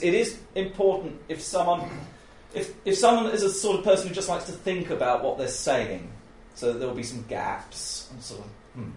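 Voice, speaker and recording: A man with a loud voice giving an informal training talk on interviewing, chatty and often laughing as he acts out example questions. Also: mid-range voice. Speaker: oral history trainer. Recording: echoey room.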